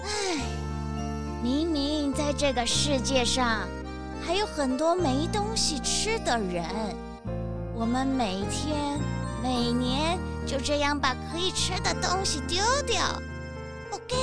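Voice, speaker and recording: A motherly old hen from a children's radio play. She is high-pitched and swoops dramatically as she fusses and dotes, with music playing behind her. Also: big pitch swings, slow pace, heavily acted. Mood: tender, regretful. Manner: dramatic, doting, with clucking exclamations. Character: motherly, fussy hen granny who runs a shop. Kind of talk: children's audio drama acting.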